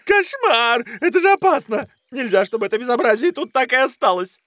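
A man voicing a naive, gluttonous, anxious big bear with a childlike, excitable manner in a Russian cartoon dub. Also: extremely high pitch, brisk, a little hiss or hum. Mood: alarmed.

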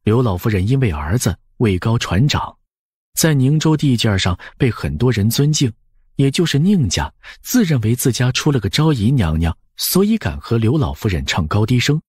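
A man narrating an audiobook as expressive scripted storytelling, with a husky voice. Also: medium pitch, moderate pace, no echo, clean studio sound.

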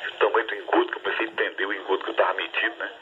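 A man chatting opinionatedly over a ham radio link, talking fast and conversationally. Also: on the low side, fairly even intonation, sharp tone, a little hiss or hum. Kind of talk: casual chat over two-way radio. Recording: tinny radio transmission.

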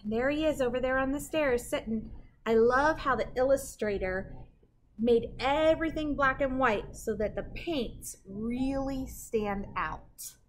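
A middle-aged woman reading a picture book aloud to children as a librarian, with animated, dramatic emphasis and a sing-song delivery. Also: high-pitched, measured pace, slight background noise. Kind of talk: expressive children's read-aloud. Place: indoor library with bookshelves.

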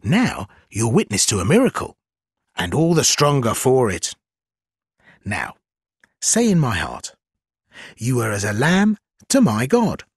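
A man voicing a sly, gleeful spider creature in a gothic fantasy game, husky and theatrical, with wheedling, archaic phrasing. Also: medium pitch, huge pitch swings, unhurried, long pauses, no room sound, studio quality, full character voice. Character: sly, gleeful, obsequious spider-bodied trickster zealot.

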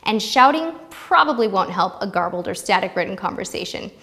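A woman's voice: mid-range voice, animated, brisk, fairly bright tone, long reverb tail. An American woman in her thirties presenting scripted tips to camera in a light-hearted, playful way on a lapel mic. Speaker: host of a travel tips video series.

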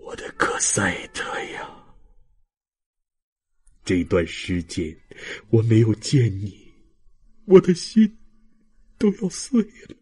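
A man voicing a weak, dying old man in an audiobook, tender and remorseful, with a breathy, halting voice. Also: lowish voice, wildly swooping pitch, darkish tone, voice pushed down, heavily acted. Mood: anguished, tearful. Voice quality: husky, breathy, whispering. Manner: halting, emotional, fading speech. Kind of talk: audiobook character acting.

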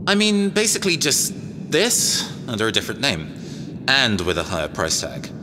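A man's voice: medium pitch, huge pitch swings, moderate pace, in a large hall, noisy background. A man playing a cagey, self-assured robot engineer mercenary in an audio drama, wry, conversational and hesitant. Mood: wry, recounting.

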